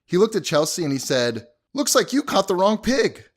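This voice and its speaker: Male actor as excitable young husband, lively swooping pitch, folksy and informal, audio drama. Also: normal speed. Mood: amused storytelling. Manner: informal, chatty storytelling.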